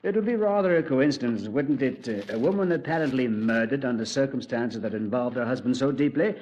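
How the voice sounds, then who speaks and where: An actor playing a composed senior Scotland Yard officer with a clipped, dry manner, in a 1950s radio drama. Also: male voice, medium pitch, animated, a little fast, darkish tone, natural voice. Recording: dull lo-fi 1950s radio recording.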